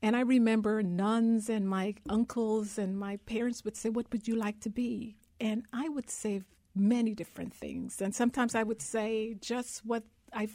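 A woman's voice: on the high side, fairly even intonation, moderate pace, few pauses, dark tone, no room sound. A woman from Guyana, a guest in an interview, telling her life story in an earnest, heartfelt way.

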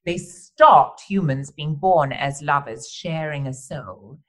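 A man's voice: a little high, slow pace, fairly bright tone. A man hosting a podcast interview, talking in a flowery, theatrical way, calling guests darling and laughing readily. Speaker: podcast host.

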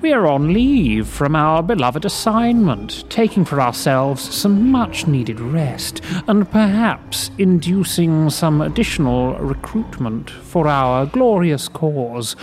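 An actor playing an unctuous, coolly zealous fiend with formal, deliberate, politely oily speech. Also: male voice, on the high side, sing-song, darkish tone. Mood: oily, eager. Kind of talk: audio drama acting.

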